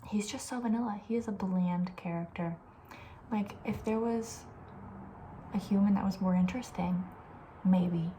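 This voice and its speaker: A woman speaking softly with breathy, near-whispered edges, chatting casually and rambling with playful opinions as an ASMR host. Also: mid-range voice, moderate pace, long pauses, slight background noise. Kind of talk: soft-spoken ASMR casual chat.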